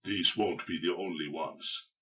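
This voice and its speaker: A man's voice: low-pitched, steady tone, measured pace, bright, forceful tone, far from the mic, pitched below the performer's own voice. A man voicing a feral, wary space marine tracker in an audiobook, curt, blunt and watchful. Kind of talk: audiobook character dialogue.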